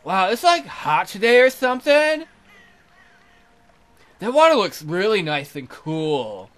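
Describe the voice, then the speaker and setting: A man's voice: high-pitched, big pitch swings, takes it slowly, fairly bright tone, full character voice. A man voicing an annoyed, airheaded young fairy-tale maiden in a visual novel, with a hesitant valley-girl drawl full of filler words. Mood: airy, idle.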